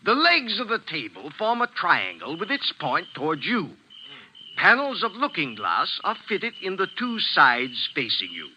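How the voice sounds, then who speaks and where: A man's voice: high voice, huge pitch swings, unhurried, bright, forceful tone, dull audio, a little hiss or hum, exaggerated voice. An actor playing a professor and showman illusionist in a 1940s radio mystery, declaiming with theatrical emphasis on a vintage recording. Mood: explaining, assured.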